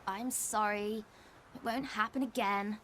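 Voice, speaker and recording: An actress playing a cheeky, quick-witted young female witcher trainee, with faint game ambience. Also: mid-range voice, steady tone, fairly bright tone, slight background noise, lightly acted. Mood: sheepish.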